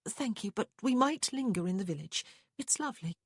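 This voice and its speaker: A woman voicing an anxious, proper young English gentlewoman in an audiobook, with breathless, urgent, emphatic delivery. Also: mid-range voice, animated, fairly quick, breathy edge, no echo. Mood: polite, uneasy. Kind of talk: audiobook character dialogue.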